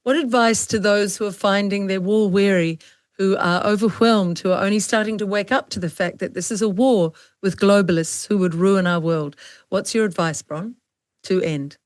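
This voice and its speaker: A woman's voice: medium pitch, normal speed, no room sound. Earnest woman hosting a conversational interview. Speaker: interview host. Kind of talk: conversational online panel interview.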